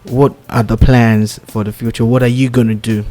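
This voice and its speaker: A man's voice: medium pitch, little pitch movement, moderate pace, mellow, soft background music. A male radio host interviewing two contest finalists, encouraging them and laughing along.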